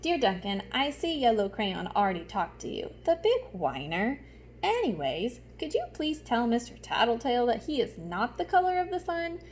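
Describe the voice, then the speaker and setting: A woman's voice: on the high side, sing-song, normal speed, a little hiss or hum. A woman voicing a smug, squabbling male orange crayon from a picture book, boastful and sneering. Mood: smug, bickering.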